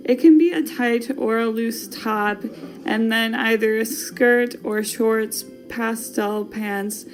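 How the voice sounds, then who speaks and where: Chatty woman host, Wisconsin accent, playful delivery, soft music bed. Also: mid-range voice. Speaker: podcast host. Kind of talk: casual fashion podcast chat.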